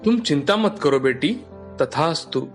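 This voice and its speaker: A benevolent deity from a fairy tale, voiced by a man. He is fatherly and reassuring, with swooping, animated intonation. Soft music sits behind the voice. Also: fairly high pitch, measured pace. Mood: gentle, reassuring.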